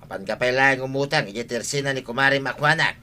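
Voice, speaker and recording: A man's voice: medium pitch, quick pace, sharp tone, close to an everyday voice. A man playing a stingy village husband in an Ilocano comedy radio drama, grumbling curtly and repeating himself. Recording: faint background noise.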